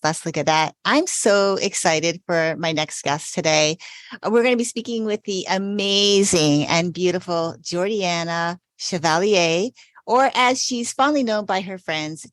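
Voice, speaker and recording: Woman, podcast host, low pitch, dry close sound, enthusiastic chatty manner. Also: no echo. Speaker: podcast host, self-care coach. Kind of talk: scripted intro then casual interview.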